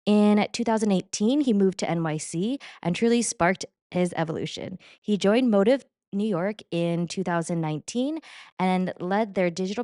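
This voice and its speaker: A middle-aged woman hosting a fitness podcast, moving from a scripted intro into relaxed, upbeat interview questions. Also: fairly low pitch, no room sound, clean studio sound. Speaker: podcast host. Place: New York City.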